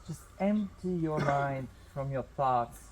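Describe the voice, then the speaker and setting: Slow-speaking man guiding an outdoor meditation. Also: medium pitch, animated, noisy background. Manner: measured, pausing between short phrases. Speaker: guided meditation leader.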